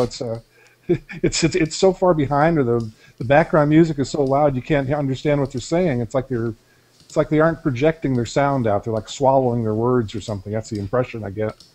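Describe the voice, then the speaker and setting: A man's voice: medium pitch, brisk, warm tone. An older man chatting on a video call through a headset from a small room at home. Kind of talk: casual video-call chat.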